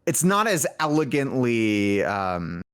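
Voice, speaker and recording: Man, mid pitch, casual interview guest, informal, rambling, self-interrupting. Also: sing-song, slow, fairly bright tone, clean tone. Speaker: indie game developer and music lead, podcast guest.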